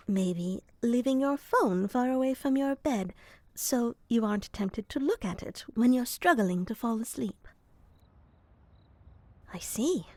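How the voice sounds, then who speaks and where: A woman playing an earnest young moth girl who helps people sleep, speaking softly and deadpan, sometimes whispering, close to the mic in an ASMR roleplay. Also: a little high, no echo. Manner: soft, slow and deadpan, slips into whispers.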